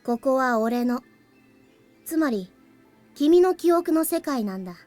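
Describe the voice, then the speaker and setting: A young boy from a visual novel, high in pitch. He is aloof and composed, speaking plainly with a faint cheek. Game music plays clearly behind him. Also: expressive, moderate pace, dark tone. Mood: calm, matter-of-fact. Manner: cool, matter-of-fact, slightly cheeky. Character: calm, aloof young boy, crystallized past memory of the hero. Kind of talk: Japanese visual novel voice acting.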